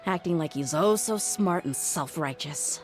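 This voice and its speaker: A woman's voice: on the low side, measured pace, darkish tone, natural voice. An actress playing a tough young woman mercenary who talks bluntly with guarded frustration, over faint music. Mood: frustrated. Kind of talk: video game voice acting. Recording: game audio with faint music.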